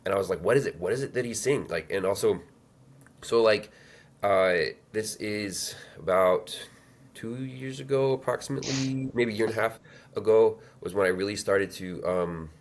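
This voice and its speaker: A man in his thirties telling his life story as an interview guest, halting with many fillers and restarts. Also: on the low side. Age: 30s. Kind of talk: reflective personal storytelling.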